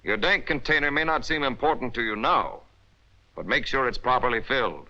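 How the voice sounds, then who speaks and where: A man with an American accent delivers scripted narration for a 1940s military training film. His voice is very bright and forceful, with a medium pitch. He instructs emphatically in clipped directives, heard through a dull, band-limited old film soundtrack. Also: a little fast.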